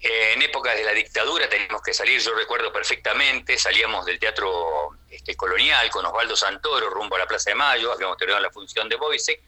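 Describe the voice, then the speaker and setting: A man's voice: on the low side, quick pace, few pauses, bright, forceful tone. An older man with a Rioplatense Argentine accent, speaking as a theatre actor on the radio in a chatty, rambling, conversational way with frequent question tags.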